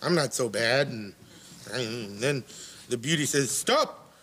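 A man's voice: medium pitch, animated, slow pace, a bit bright, slightly rough, exaggerated voice. A man playing a bumbling, tipsy elderly children's storytime host in a comedy sketch, stammering and losing his thread. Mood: rambling, muddled. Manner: rambling, stammering, loses his thread, trails off. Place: living-room studio set with audience. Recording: noisy TV studio, audience behind.

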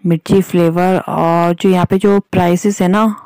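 A woman's voice: on the low side, fairly even intonation, moderate pace, a little mellow, dry recording. A woman narrating a casual vlog, explaining plainly what is being shown, like a tour guide. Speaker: vlogger narrating.

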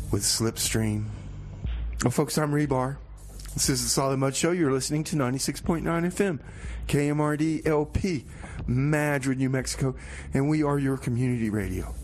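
A man hosting a community radio music show, with a folksy, theatrical, halting delivery that repeats phrases. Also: medium pitch, slight background noise.

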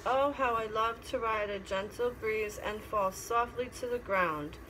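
Woman reading as a high-voiced playful little storybook girl. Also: unhurried, pushed and bright, poor audio. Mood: dreamy. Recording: clear background noise.

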